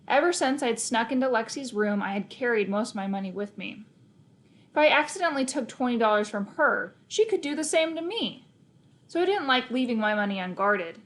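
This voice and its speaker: A woman's voice: fairly high pitch, a bit bright. A woman narrating a children's novel aloud in the first person, expressively, with emphasis on key words. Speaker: storybook reader.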